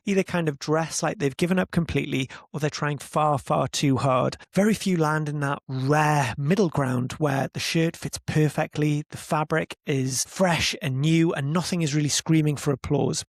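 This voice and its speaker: A man in his forties hosting a podcast, reading a measured introduction and asking interview questions. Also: fairly high pitch, vocal fry, no echo, studio quality. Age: 40s. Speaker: podcast host. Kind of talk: scripted intro then casual interview.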